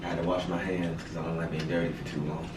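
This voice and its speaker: A man's voice: low voice, little pitch movement, fast talker, music in the background. A young man chatting casually and encouragingly, with lots of slang and filler words. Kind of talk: casual chat. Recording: distant, echoey room with noise.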